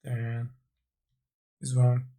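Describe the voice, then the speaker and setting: A man giving an unscripted software tutorial, thinking aloud in short, halting phrases as he instructs. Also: medium pitch, monotone.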